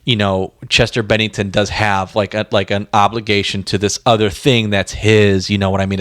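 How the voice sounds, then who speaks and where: A man's voice: fairly low pitch, no echo, studio quality. A man co-hosting a music podcast, talking informally and emphatically, repeating words to make his point. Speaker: podcast co-host. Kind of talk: casual music discussion.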